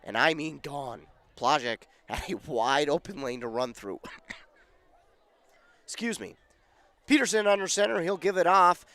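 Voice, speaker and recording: A man calling a football game on local radio, his voice going scratchy and hoarse, rising with excitement on big plays. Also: fairly high pitch, measured pace, pauses often, no echo. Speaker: play-by-play announcer.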